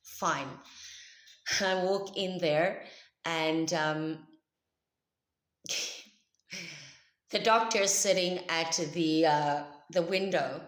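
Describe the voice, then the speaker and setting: A woman with a South African accent, Afrikaans by birth, tells her story to camera in a loud, emphatic, chatty voice. Her voice sits fairly low, and the room gives it a noticeable echo. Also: very slow, long pauses. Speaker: vlogger. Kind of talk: personal story told to camera.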